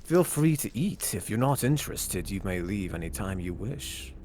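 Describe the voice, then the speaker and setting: A man playing a formal, grandiose host of a villains' gathering in a Minecraft roleplay, with measured theatrical phrasing. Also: on the low side, big pitch swings, a little mellow, a little hiss or hum.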